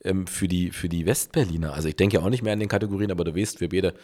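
Berliner host, man, lower pitch, steers the chat with easy questions. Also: steady tone, racing, darkish tone. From East Berlin, Germany. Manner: conversational, leading questions. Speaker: podcast host. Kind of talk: interview podcast.

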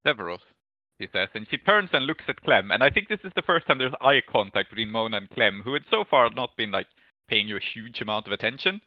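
A man's voice: mid-range voice, lively intonation, fast, dry recording, highs cut off. A man acting as game master, narrating a tabletop game in an improvised, conversational way.

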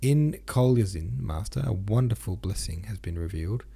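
A garrulous old pilgrim woman from a storybook, voiced by a man, rambling on with earnest piety. The voice is creaky and a little husky, and she is quick to admonish and easily shocked. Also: on the low side, animated, warm tone, exaggerated voice. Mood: eager, garrulous. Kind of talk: audiobook character reading.

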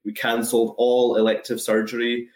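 A man with a Scottish accent explaining things thoughtfully on a webinar, with measured, hesitant phrasing. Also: medium pitch, completely flat delivery. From Scotland. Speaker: guest, anaesthesiology and intensive care resident. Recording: echoey webinar call.

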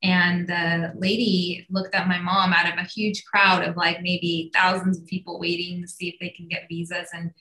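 Casting: Iranian-born woman guest, casual rambling storyteller, bright tone, echoey room. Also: fairly low pitch, flat delivery, moderate pace, few pauses. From Iran. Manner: chatty, many fillers and restarts. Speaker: guest entrepreneur. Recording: roomy echo.

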